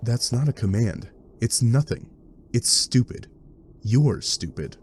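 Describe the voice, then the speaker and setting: A man's voice: mid-range voice, animated, slow pace, a little mellow, slight background noise. A man playing a wry, exasperated game narrator, delivering scripted comic narration in a sardonic manner.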